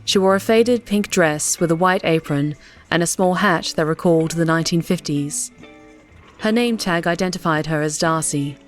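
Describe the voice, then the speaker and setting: A woman's voice: on the low side, moderate pace. A woman reading scripted fiction as an audiobook narrator. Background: faint music.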